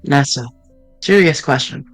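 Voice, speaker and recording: Dude reading game lines out loud, earnest and a bit halting, dry close mic, faint music. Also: male voice, medium pitch, no room sound. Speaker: streamer reading a game aloud. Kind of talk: reading visual novel dialogue aloud.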